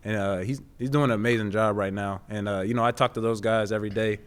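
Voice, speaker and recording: A man in his twenties, a pro athlete answering interview questions in a halting, conversational way with repeated words. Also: fairly low pitch, fairly even intonation, clear, resonant tone. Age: 20s. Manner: halting, with repeated words and frequent "you know". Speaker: professional football player being interviewed.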